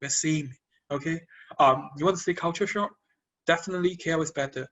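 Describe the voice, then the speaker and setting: Young malaysian guy, chinese is his first language, stumbles and repeats himself, kinda high pitched. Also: a bit bright, dull audio. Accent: Malaysian Chinese English. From Johor Bahru, Malaysia. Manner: halting, repeats words, laughs often. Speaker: guest. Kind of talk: casual chat.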